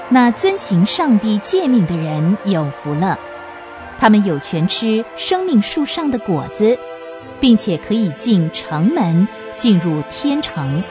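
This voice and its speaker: A woman narrating a radio drama as a storyteller, reading from a script. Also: medium pitch.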